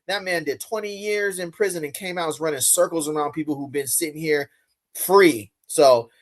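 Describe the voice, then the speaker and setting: Animated man chatting on a live stream. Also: on the high side, moderate pace. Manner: animated, slangy, swings loud and soft. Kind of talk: casual live stream chat.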